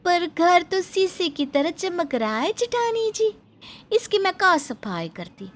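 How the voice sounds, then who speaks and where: A woman playing a naive, cheerful young village sister-in-law in an animated family story, with a rustic Hindi dialect and simple, earnest exclamations. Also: very high-pitched, slow, slight background noise, heavily acted.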